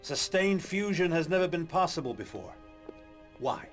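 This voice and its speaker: A man playing a proud, absorbed middle-aged scientist in a video game, with a lecturing, expressive manner. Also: fairly high pitch, moderate pace, soft background music, natural voice. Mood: eager lecturing.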